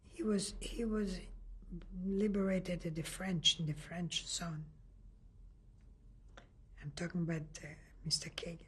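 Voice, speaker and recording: An older woman from Lithuania giving an oral history testimony in a halting way, often restarting and searching for words. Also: on the low side, slightly rough. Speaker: interviewee.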